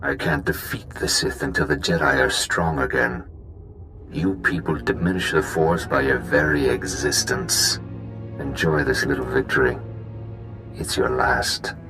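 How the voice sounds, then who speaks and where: An actor playing a contemptuous dark warrior in a video game, speaking in a slow, ominous, deliberate way. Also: male voice. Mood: cold menace. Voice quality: very deep, heavy. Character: menacing, contemptuous dark warrior, a fallen Jedi villain. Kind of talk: video game villain acting. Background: music.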